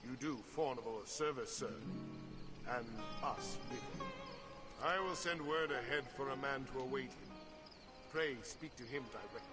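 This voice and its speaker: A dutiful medieval officer from a fantasy video game , voiced by a male actor. He speaks at a middling pitch in formal, old-fashioned phrases with a measured delivery, over clearly audible music. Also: lightly acted. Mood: grave, formal. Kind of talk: scripted fantasy game dialogue.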